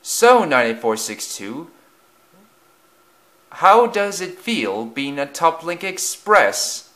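A man's voice: fairly high pitch, sing-song, takes it slowly, fairly bright tone, pitched above the performer's own voice, heavily acted. Man voicing a smug big blue engine. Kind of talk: children's railway storybook voice.

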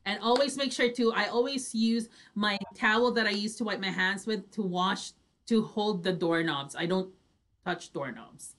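A woman's voice: medium pitch, moderate pace, fairly bright tone. A woman hosting a show in a casual, instructive way. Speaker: radio show host. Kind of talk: casual chat, reading out instructions. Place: small indoor home studio, video call.

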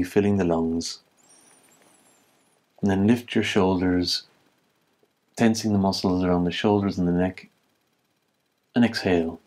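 A man leading a guided meditation as an instructor, speaking in calm, measured phrases with long pauses. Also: low-pitched.

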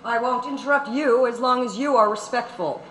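A woman's voice: a little high, moderate pace, a bit bright, natural voice. A woman playing a stern, impatient, authoritarian judge in a staged reading of a court transcript. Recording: echoey auditorium.

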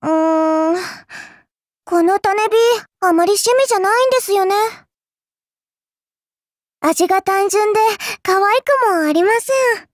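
A woman's voice: extremely high pitch, measured pace, long pauses, no room sound, clean studio sound. A woman voicing a dainty, childlike little girl in a mobile game, polite and prim in speech with a teasing, cruel streak. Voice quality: childlike, cutesy. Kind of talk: Japanese mobile game character voice lines.

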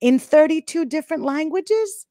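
A woman's voice: sits high, animated, normal speed, mellow, no room sound. Enthusiastic woman host, close dry mic. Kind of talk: live online interview. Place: studio with logo backdrop. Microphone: microphone close in front.

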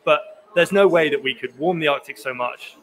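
A man's voice: fairly high pitch, normal speed, fairly bright tone. A young man, a sea ice scientist, speaking at a conference podium and answering questions, with many restarts and fillers. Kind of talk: conference talk and Q&A. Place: conference pavilion hall, indoors. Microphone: podium microphone.

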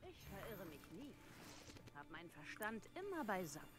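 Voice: mid-range voice, very animated, moderate pace, natural voice. An actor playing a self-assured, boastful guide in a video game, with a theatrical delivery. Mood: confident. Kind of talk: video game character acting. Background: music.

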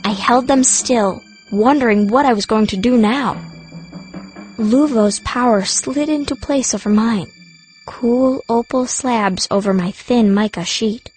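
High-pitched woman narrating a fantasy audiobook. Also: unhurried, darkish tone, soft background music, low-quality recording. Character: fierce young girl stone mage telling her story. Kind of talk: first-person fantasy story narration.

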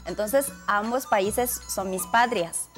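A Chinese-born woman in her thirties answers questions in a TV studio, speaking a second language with careful, slightly halting phrasing. She speaks into a handheld mic at an average pitch. Music plays clearly behind her. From China. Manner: careful, slightly halting non-native speech. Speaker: community guest. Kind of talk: TV studio interview. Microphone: handheld mic held by the host.

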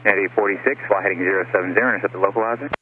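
Deep, fast male controller over radio. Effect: aviation radio. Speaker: air traffic controller. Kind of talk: procedural radio instructions. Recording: phone-like aviation radio, faint noise.

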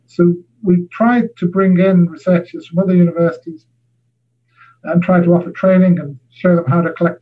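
A man's voice: sits high, breathy edge. A man giving an academic seminar talk over a video call, hesitant, with many filler sounds. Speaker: university lecturer. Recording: tinny phone-like audio.

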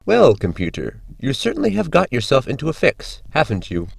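A man's voice: mid-range voice, wildly swooping pitch, a little fast, gravelly, heavily acted. A man playing a prim, long-suffering spaceship crewman, formal and dry, in a science fiction comedy audio drama. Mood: exasperated.

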